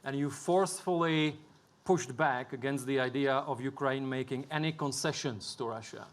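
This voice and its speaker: A man moderating a formal panel, introducing guests and putting questions to them in a measured way. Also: medium pitch. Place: conference stage with an audience.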